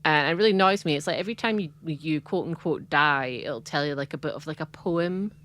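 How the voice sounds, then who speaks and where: Scottish woman, low voice, rambly casual podcast co-host. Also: fairly quick, a bit bright, slight background noise. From Scotland. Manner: chatty and rambling, frequent "like" and laughs. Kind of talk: casual chat.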